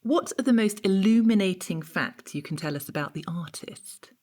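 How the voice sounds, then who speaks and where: An actress playing a pompous art-history interrogator in an audio drama, formal, measured and prompting. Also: female voice, medium pitch, expressive, a little mellow, natural voice. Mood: probing. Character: probing, pompous female art-history interrogator keeping things on task.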